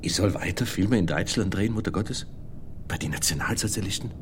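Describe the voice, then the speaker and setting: An actor playing a troubled, devout mountain filmmaker, pleading earnestly in a radio play. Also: male voice, sits low, a little fast, noisy background, natural voice. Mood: anxious questioning. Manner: pleading, earnest, partly murmured. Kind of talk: German radio play acting.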